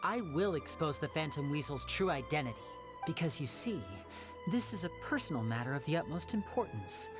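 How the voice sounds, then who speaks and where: A woman voicing a confident, courteous young male magician in a video game, smooth and earnest. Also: sits low, expressive, brisk. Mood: earnest, reassuring. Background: game music.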